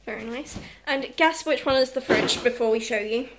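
High-voiced young British woman, noisy recording. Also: normal speed. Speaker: vlogger giving a house tour. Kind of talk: casual handheld vlog chat with sibling. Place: indoors, rooms of a holiday house. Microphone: handheld phone or camera. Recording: noisy handheld phone recording.

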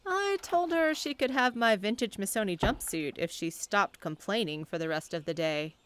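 A woman's voice: medium pitch, animated, moderate pace, lightly acted. A woman playing a cheerful, wry middle-aged mother in an audio drama. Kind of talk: fan audio drama acting.

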